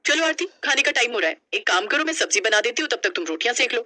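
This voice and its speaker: Woman as a high-pitched, bossy sister-in-law. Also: sing-song, quick pace, sharp tone. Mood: brisk. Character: brisk elder sisters-in-law, cheerfully bossy housewives. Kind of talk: animated storybook acting.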